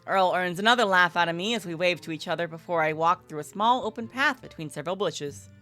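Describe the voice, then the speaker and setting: A playful, slangy, expressive woman livestreaming, moving between casual chat and narrating a visual novel. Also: lowish voice, fast talker, faint music behind.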